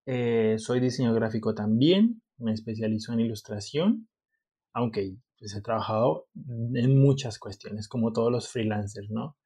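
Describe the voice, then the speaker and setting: A man's voice: medium pitch, unhurried, mellow, breathy edge. Young man, podcast co-host, playful and joking in casual talk. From Colombia. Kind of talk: casual chat.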